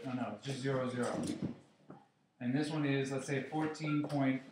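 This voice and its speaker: A man's voice: medium pitch, fairly even intonation, moderate pace, far from the mic, noisy background. Teacher man in an echoey classroom. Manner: playful, joking with students. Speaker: chemistry teacher. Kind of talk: classroom review lesson.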